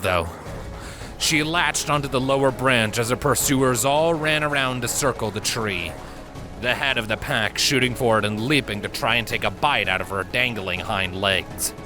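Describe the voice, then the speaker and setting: A man reading a story as a measured, expressive narrator in a dramatic scripted style. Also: mid-range voice. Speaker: fan fiction narrator. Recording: prominent music and effects behind voice. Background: background music, sound effects.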